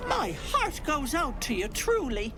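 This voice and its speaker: An old auntie who fusses sweetly, her voice lively and swooping high and low. She talks slowly and sing-song, a sly hag hiding behind kindness. Game music plays under her. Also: exaggerated voice. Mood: sympathetic. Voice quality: fussing, sing-song, grandmotherly. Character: sweet-talking old woman, a hag in disguise.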